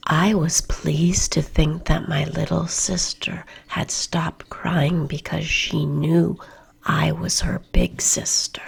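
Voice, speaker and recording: Slow whispering woman telling a bedtime story. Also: sits low, gravelly, breathy edge. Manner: soft unvoiced whisper throughout; slow, soothing, lingering on repeated words.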